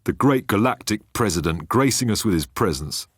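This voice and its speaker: A man's voice: fairly low pitch, lively intonation, normal speed, a bit bright, breathy edge, no echo. A man voicing a sardonic disembodied head on a spaceship, dry and mocking.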